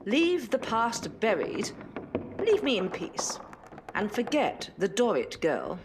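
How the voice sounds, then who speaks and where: A woman's voice: medium pitch, big pitch swings, takes it slowly, heavy background noise. A woman playing a stern, cold older mother in an audio drama, severe and formal in manner. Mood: stern, dismissive. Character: stern, cold, secretive Victorian mother of a grown son.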